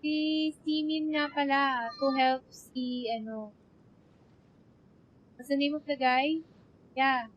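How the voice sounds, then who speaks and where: A woman's voice: sits high, fairly even intonation, slow pace, long pauses, highs cut off. A woman with a Filipino accent, a native Tagalog speaker, chatting on a game livestream and reading dialogue aloud. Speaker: game streamer. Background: game music.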